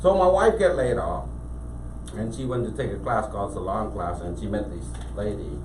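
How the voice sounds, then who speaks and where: A man from Cambodia with a Cambodian accent, a business owner telling his story as a guest in a casual interview, animated and full of restarts. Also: lowish voice, moderate pace. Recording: echoey room, mic at a distance, faint background noise.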